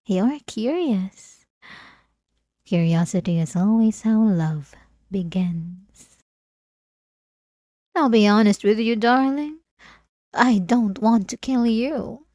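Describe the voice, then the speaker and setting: Woman acting as obsessive female vampire, unhurried, seductive, taunting, theatrical ASMR roleplay, close and dry sound. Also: fairly high pitch, mellow, dry recording. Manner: slow, theatrical, seductive taunting with drawn-out pauses. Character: obsessive, possessive, manipulative centuries-old female vampire lover.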